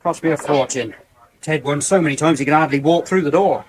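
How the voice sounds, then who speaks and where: Actor as wry young villager, lightish voice. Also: male voice, a little high, brisk, lightly acted. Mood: friendly, harried. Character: wry, nagging young village darts captain. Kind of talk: 1980s radio soap acting. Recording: hissy 1980s radio broadcast recording.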